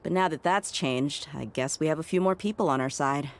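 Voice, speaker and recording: An actress playing a pragmatic, level-headed desert mercenary in a video game, measured and matter-of-fact. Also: female voice, sits low. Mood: calm, pragmatic.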